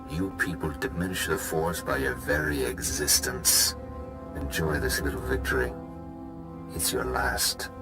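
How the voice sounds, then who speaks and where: Brief: a vengeful fallen Jedi master, very deep and gravelly, cold and accusing, over game music. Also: male voice, fairly even intonation, measured pace. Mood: cold menace. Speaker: villain in a video game cutscene. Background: game score music.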